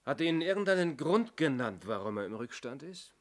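A man's voice: a little high, sing-song, brisk. An actor playing a businesslike police sergeant in a German radio play, terse and matter-of-fact as he asks questions. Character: businesslike male police sergeant, the inspector's assistant.

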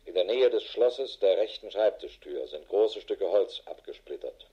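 An actor playing a harried, middle-aged police investigator in a radio drama, matter-of-fact and dry. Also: male voice, low voice, lively intonation, moderate pace, warm tone, slightly rough, muffled recording, heavily acted. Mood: sober, dictating. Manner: matter-of-fact, dry, clipped official phrasing. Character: harried, world-weary male police investigator with a wife. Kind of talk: German radio drama acting, dictated report.

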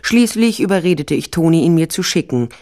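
A woman's voice: sits low, expressive, brisk, background noise. An actress playing a tough, wry female private investigator in a German radio crime drama, blunt and dry. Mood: wry, reflective. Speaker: narrator and lead actress.

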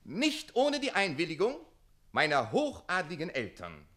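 An actor playing a quick-witted, defiant servant in a radio comedy, declaiming theatrically and splitting hairs with passion. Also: male voice, a little high, huge pitch swings, pushed and bright, exaggerated voice. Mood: pleading, grandiose. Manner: declamatory, hair-splitting, impassioned. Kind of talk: German stage comedy radio play acting.

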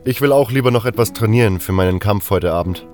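A man's voice: on the low side, fast talker, darkish tone, close to an everyday voice. Man playing a cocky, defiant young adventurer. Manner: brash, colloquial. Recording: music under the voice.

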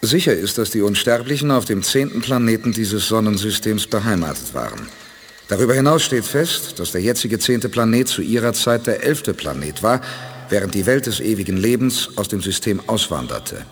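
A man's voice: on the low side, very animated, normal speed, in a large hall. An actor playing a determined male spaceship commander, speaking firmly and resolutely in a radio play. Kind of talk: 1980s radio play acting.

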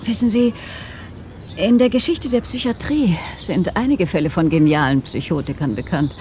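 An actress playing a self-assured, probing neurologist in a radio drama, articulate and wry. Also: female voice, on the low side, a little mellow, a little hiss or hum. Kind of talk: 1990s German radio drama acting.

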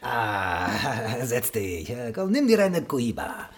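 An actor playing a vain, effusive celebrity TV chef with a Spanish accent and theatrical manner in a German radio drama. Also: male voice, medium pitch, sing-song, slow pace, slightly rough, full character voice. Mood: effusive, self-important. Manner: gushing, theatrical, peppers talk with foreign words.

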